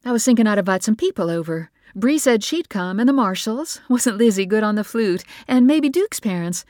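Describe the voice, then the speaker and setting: A woman's voice: sing-song, no echo, clean studio sound. A woman voicing an eager middle-aged mother who gushes and rattles on. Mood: coaxing. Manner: gushing, rapid, high-strung.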